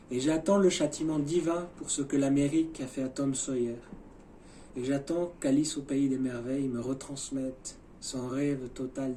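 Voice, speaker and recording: A man recites poetry in a deliberate, incantatory manner, moving between hushed and emphatic phrases. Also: mid-range voice, a little hiss or hum.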